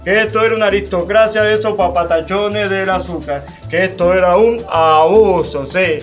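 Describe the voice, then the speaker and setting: An actor playing a folksy, indignant veteran cane cutter with a Cuban accent, colloquial and exclamatory in a radio drama. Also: male voice, sits high, normal speed, lightly acted. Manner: colloquial, exclamatory, vivid storytelling. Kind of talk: radio drama monologue in casual talk. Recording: faint music behind.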